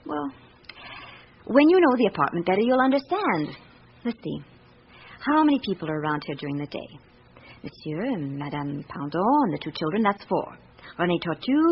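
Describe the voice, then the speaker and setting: Expressive, confiding British secretary. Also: female voice, fairly low pitch, brisk. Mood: candid. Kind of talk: radio drama acting. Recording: muffled radio recording with background hiss.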